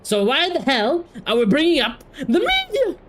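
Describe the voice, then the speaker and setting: A man giving casual livestream commentary in an animated, slangy, sweary way. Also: very high voice, moderate pace, background noise. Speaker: live streamer.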